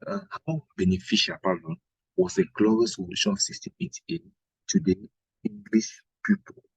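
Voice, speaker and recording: Husky Cameroonian man teaching. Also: on the low side, very animated, moderate pace, no echo, low-quality recording. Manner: didactic, repeats phrases and checks with "right?". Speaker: history teacher. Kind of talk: exam revision lesson.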